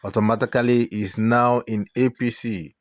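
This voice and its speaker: Male Nigerian online news commentator, mid-pitched and informal, recorded dry. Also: fairly even intonation, normal speed, no room sound.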